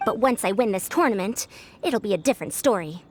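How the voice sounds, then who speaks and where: A woman's voice: mid-range voice, lively intonation, fairly quick, slight background noise, close to an everyday voice. A woman playing a proud, self-assured senior female scholar with a girlish voice in a video game, talking formally down to her juniors. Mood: confident, proud. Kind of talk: video game character acting. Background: music.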